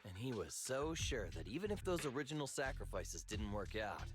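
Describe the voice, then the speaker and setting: An actor playing a chatty, grumbling company field worker who likes gossip, in a video game. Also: male voice, medium pitch, sing-song, normal speed, natural voice. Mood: gossipy. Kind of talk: video game dialogue acting. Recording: game audio with music behind.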